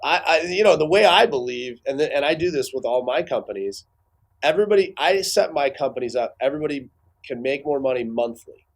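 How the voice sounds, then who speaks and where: Male business guest, mid-pitched, conversational and halting, explaining his opinions in an interview. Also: animated. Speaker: business owner giving expert commentary as guest. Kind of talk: casual interview commentary.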